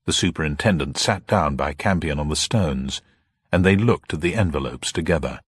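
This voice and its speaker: A man's voice: rumbling low, normal speed, slightly rough, no room sound, clean studio sound. A man reading a thriller novel aloud as a dramatic narrator. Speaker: audiobook narrator.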